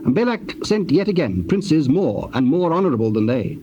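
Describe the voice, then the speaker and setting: A man's voice: a little high, sing-song, normal speed, dark tone, highs cut off. Solemn male scripture narrator, noisy tape. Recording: noisy old cassette tape.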